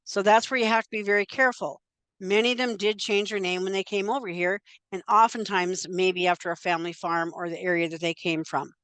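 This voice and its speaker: A woman's voice: medium pitch, fairly even intonation, brisk, a bit bright, clear, resonant tone, dry recording. An older woman gives an informal, chatty genealogy presentation, talking conversationally with frequent fillers.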